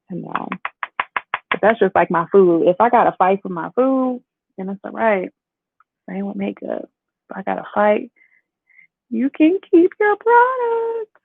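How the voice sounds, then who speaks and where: A woman's voice: medium pitch, creaky, slightly rough, no echo, narrow, tinny audio. Playful young chicago woman w a bit of southern twang, chatting to her viewers, dry thin phone-ish sound. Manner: chatty, playful, lively, talks to the chat. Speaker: beauty vlogger. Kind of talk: casual makeup tutorial livestream.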